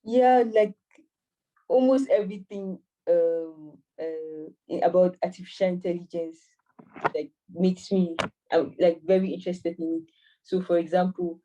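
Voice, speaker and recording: A woman's voice: on the low side, measured pace, mellow, distant mic, muffled recording, a little hiss or hum. A woman in her twenties from Cameroon, an AI mentor answering interview questions hesitantly with many fillers and restarts. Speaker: AI mentor and master's student.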